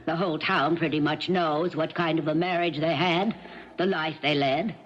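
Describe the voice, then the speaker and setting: An actress playing a brisk, authoritative female attorney formally questioning a witness in a 1970s radio drama. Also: low-pitched, fairly even intonation. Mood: stern, formal. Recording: dull lo-fi 1970s radio recording.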